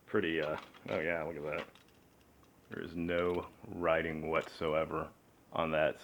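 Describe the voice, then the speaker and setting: A man casually chatting through a cassette unboxing, relaxed and rambling, with frequent fillers and upbeat asides. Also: deep voice, a little hiss or hum. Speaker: music collector vlogger.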